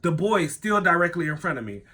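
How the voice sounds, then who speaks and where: A young man with a stuffy nose, telling a personal story in a casual vlog, animated, full of slang and "like", swearing freely. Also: quick pace. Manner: animated, acts out his own thoughts in a higher voice.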